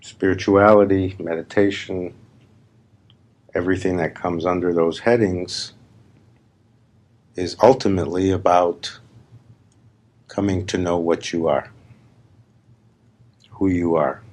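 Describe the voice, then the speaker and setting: A man leading a guided meditation as a spiritual teacher, speaking in deliberate, emphatic, repeated phrases with long pauses. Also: sits low, fairly even intonation, a little mellow. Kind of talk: slow guided meditation talk. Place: live gathering.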